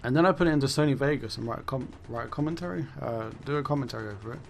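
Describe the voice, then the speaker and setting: A man's voice: mid-range voice, rapid-fire, gravelly, slight background noise. A man chatting casually as a gaming YouTuber, rambling and self-correcting, his voice worn and fading. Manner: rambling, self-correcting, swears then laughs it off. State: losing his voice. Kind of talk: casual commentary monologue.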